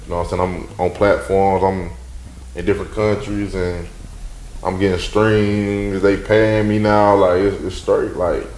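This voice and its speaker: A man who raps, talking as a guest in a loose, slangy interview, with a deep, mellow voice and lots of fillers. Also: little pitch movement, unhurried, roomy sound, a little hiss or hum. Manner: laid-back, rambling, slangy, many fillers. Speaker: rapper, guest. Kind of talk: casual interview talk.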